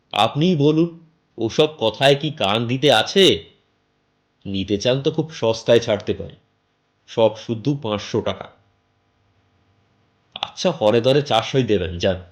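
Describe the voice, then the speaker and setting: A man voicing a garrulous middle-aged house seller in an audio story, callous and grumbling, with wheedling, colloquial chatter. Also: fairly low pitch. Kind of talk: dramatised audio story dialogue.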